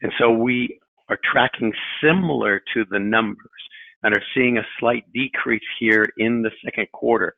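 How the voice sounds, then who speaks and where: A middle-aged man speaking as a company chief executive, giving a formal, measured earnings presentation in deliberate phrases. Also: on the low side, gravelly, no echo. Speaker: company president and CEO. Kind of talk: prepared corporate earnings presentation. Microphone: telephone on a conference call. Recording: narrowband tinny phone line.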